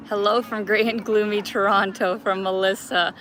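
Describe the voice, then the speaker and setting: A woman's voice: mid-range voice, flat delivery, measured pace, a bit bright, a little hiss or hum. A young woman hosting a travel live stream outdoors in a city park, chatty and laughing often as she greets viewers by name.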